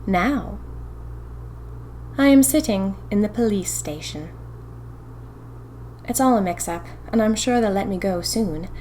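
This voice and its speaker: A woman's voice: mid-range voice, expressive, a little slow, long pauses, darkish tone, a little hiss or hum, close to an everyday voice. A woman playing a young 1920s typist who confides eerie happenings to her diary in a chatty, dramatic, wry way. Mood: indignant, flustered. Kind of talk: first-person audio fiction diary narration.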